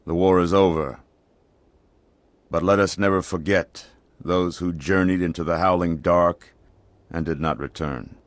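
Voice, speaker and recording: An actor playing a grave older male military commander in a video game, speaking in formal, measured oratory. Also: deep voice, fairly even intonation, no echo. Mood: solemn, resolute. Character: gruff, solemn older male military commander giving a eulogy. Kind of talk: dramatic video game cutscene acting.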